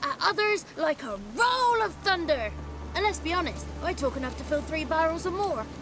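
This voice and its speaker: A woman's voice: extremely high pitch, very animated, moderate pace, music in the background. A woman voicing a cheeky, childlike male swamp creature in a video game, talkative and theatrical, with boastful, florid wordplay. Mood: boastful, animated. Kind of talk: video game character acting.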